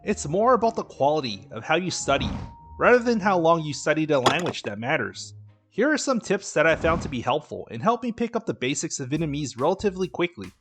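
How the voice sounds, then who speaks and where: Man narrating advice with animated pitch. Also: fairly quick, music in the background. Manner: steady, instructive, advice-giving delivery. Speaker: dating and language vlogger. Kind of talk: scripted voice-over narration.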